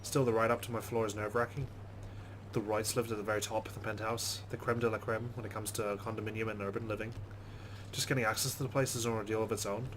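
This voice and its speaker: A man's voice: on the low side, level tone, fast, noisy background. A man playing through a story game, reading its narration aloud with casual asides. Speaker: let's play host.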